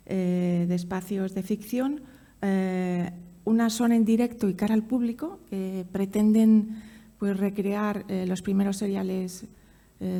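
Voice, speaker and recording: Female academic presenter, gravelly and very mellow, middle pitch, hesitant explanatory delivery. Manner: halting, searching for words. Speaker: university professor, conference speaker. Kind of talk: academic presentation, loosely scripted.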